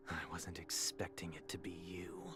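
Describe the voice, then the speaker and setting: An actor playing a proud, bitter fallen gentleman held captive, speaking formally and scornfully in a dramatic video game scene. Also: sits low, background noise. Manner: formal, theatrical, scornful, sighing. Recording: loud music behind the voice. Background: loud music.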